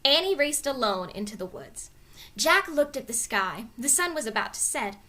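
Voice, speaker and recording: Female storybook reader: expressive narration, very lively pitch movement, bright tone. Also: normal speed.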